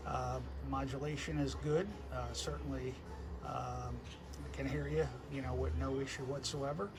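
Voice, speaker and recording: A man chatting casually as an amateur radio operator, halting and easygoing, recorded outside on a porch. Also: fairly high pitch, vocal fry. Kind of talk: casual ham radio conversation. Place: outdoors on a house porch. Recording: noisy outdoor recording with ambience.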